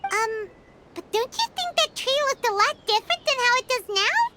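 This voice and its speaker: A woman voicing a tiny, chirpy, blunt fairy-like companion in a game cutscene, with a squeaky childlike voice and wry remarks. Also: big pitch swings, moderate pace, very noisy. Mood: curious.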